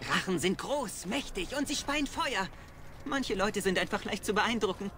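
A man's voice: high voice, lively intonation, normal speed, bright, forceful tone, soft background music. An actor playing a wry male mage companion in a fantasy game, speaking in a dry, sardonic manner.

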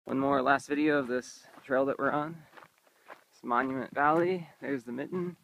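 A man's voice: medium pitch, normal speed, creaky, rough recording. A young man narrating his road trip casually while filming outdoors in open desert on a handheld camera. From Indiana, United States. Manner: relaxed, touristy commentary while walking.

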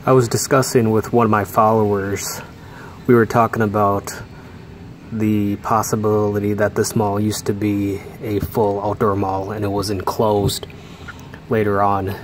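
A man with a low, even voice gives a relaxed walking-tour commentary as he films inside a large, mostly empty mall. He speaks in a flat, unhurried way and pauses as he goes. A faint hum of indoor ambience sits behind him. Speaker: mall explorer vlogger.

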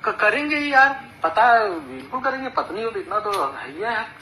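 A man's voice: extremely high pitch, animated, brisk, sharp tone. A man in a heated personal phone argument, speaking as an accusing husband who repeats himself. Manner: accusatory, repetitive. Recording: noisy recorded phone call, band-limited.

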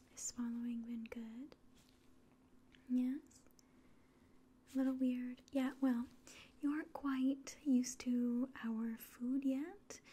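A woman in her twenties doing a whispered ASMR roleplay in a soft, breathy, gentle and reassuring voice, close to the mic. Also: fairly high pitch. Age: 20s. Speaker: ASMR performer playing an alien medical examiner. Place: small indoor room.

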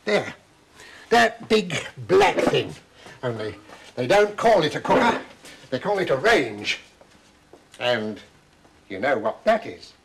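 A man's voice: on the high side, big pitch swings, slow, creaky, long pauses, noisy background, full character voice. An older actor playing a kindly grandfather who patiently explains old times to children, in a children's TV drama. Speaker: grandfather who does magic. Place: kitchen set, indoors.

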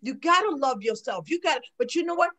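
Woman, sixties, high-pitched, emphatic, preachy, video call. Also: lively intonation, quick pace, sharp tone. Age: 60s. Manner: preachy, emphatic, repeats "okay?". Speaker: guest. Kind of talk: casual chat on a video call. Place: home room.

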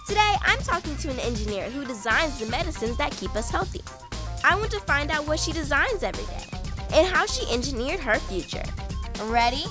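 Bright young teen girl hosting. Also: sits high, big pitch swings, a little fast. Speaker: student interviewer, show host. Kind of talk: upbeat scripted hosting and questions. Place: studio with plain backdrop. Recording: music bed underneath.

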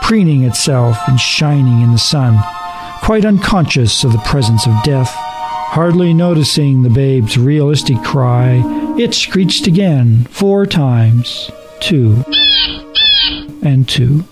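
A man reading a horror story as a dramatic narrator, deliberate and suspenseful. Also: mid-range voice, expressive. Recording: narration over background music.

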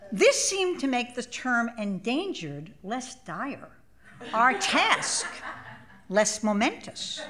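Older woman reading, lively swooping pitch. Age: 70s. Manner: measured, expressive, wry delivery. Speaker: author reading own essay. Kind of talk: literary essay reading for a live audience. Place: small theater stage, live event. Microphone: stage microphone.

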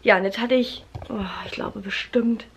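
A woman's voice: on the high side, fairly even intonation, normal speed, vocal fry, slightly rough. Chatty woman with a stuffy nose. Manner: chatty, many fillers, addresses viewers warmly as friends. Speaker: family vlogger. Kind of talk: casual vlog chat to camera. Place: living room at home, indoors. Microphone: camera held close at arm's length.

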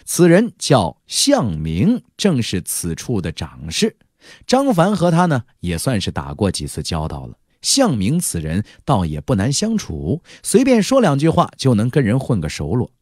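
A man's voice: mid-range voice, huge pitch swings, unhurried, a little mellow, breathy edge, dry recording, clean studio sound. A man narrating an audiobook as a dramatic, colloquial storyteller, with playful, wry asides.

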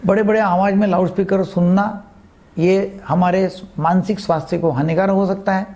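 A man's voice: high-pitched, fairly even intonation, normal speed, a little mellow. A man explains health matters to camera as a doctor, emphatically repeating key phrases and mixing in English terms. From India. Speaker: psychiatrist giving health advice. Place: indoors, seated before a green screen. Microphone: lapel mic.

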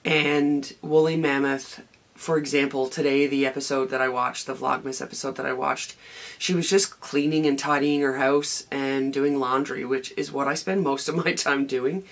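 Hoarse gravelly woman, chatty vlogger. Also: rumbling low, steady tone, moderate pace. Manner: rambling, chatty, many ums and restarts. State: hoarse, raspy voice. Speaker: vlogger and knitting podcaster. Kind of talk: casual daily vlog chat. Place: home craft room with shelves, indoors.

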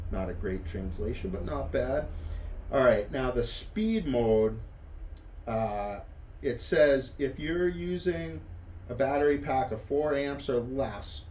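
An echoey indoor recording with faint noise carries a middle-aged North American man. He reviews a tool casually, in a plain-spoken, offhand way, at a medium pitch. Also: a little mellow. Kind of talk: casual hands-on product review. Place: indoor wooden workshop.

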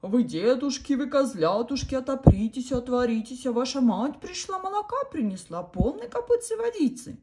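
A woman voicing a sly, hungry male wolf in a storybook, chanting loudly and later putting on a squeaky fake-sweet tone. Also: very animated, moderate pace, hardly any pauses, noisy background. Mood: loud, rough.

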